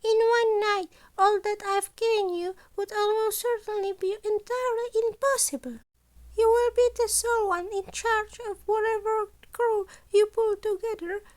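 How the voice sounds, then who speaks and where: A small, cunning rodent principal from an audio story, acted out by a woman, speaks in a very high voice. He is courteous and formal, stressing his words firmly as he sets out exacting terms. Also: steady tone. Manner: formal, measured, emphatic phrasing.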